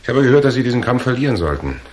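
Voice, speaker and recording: A man's voice: mid-range voice, very animated, very fast, darkish tone, lightly acted. A male actor playing a cool, tough, confident hero in a radio crime drama, speaking dryly and unflustered. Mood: cool, probing. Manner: dry, unflustered, hard-boiled.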